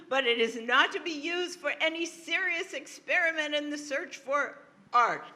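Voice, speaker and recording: Woman writer, slow unhurried delivery, bright forceful tone, expressive emphatic reading at a panel. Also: a little high. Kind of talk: reading excerpts and commenting at a panel.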